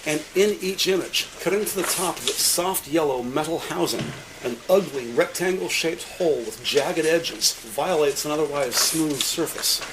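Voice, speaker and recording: Higher-pitched male narrator over noisy ambience. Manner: deliberate, detailed storytelling. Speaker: audio description narrator. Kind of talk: scripted dramatic narration of a police drama.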